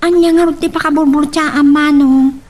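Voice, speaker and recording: A woman's voice: high-pitched, steady tone, moderate pace, a little mellow, close to an everyday voice. A woman playing an inquisitive young sister in an audio drama, with an Ilocano accent. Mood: puzzled, concerned. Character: young, inquisitive younger sister questioning her big brother. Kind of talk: Ilocano radio-style drama acting. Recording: distant mic with music behind.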